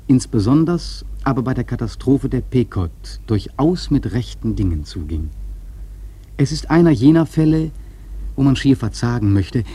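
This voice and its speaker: Casting: reflective sea-novel storyteller for radio drama, mellow and husky, earnest, measured rhetoric. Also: male voice, medium pitch. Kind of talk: literary narration in a radio play.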